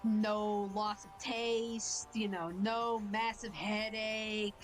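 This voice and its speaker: A woman's voice: mid-range voice, little pitch movement, extremely slow, faint music behind. A woman from Ohio with a nasal, stuffed-up voice from a cold, chatting casually on a stream. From Ohio, USA. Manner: chatty, uses lots of fillers. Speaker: stream co-host. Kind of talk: casual chat.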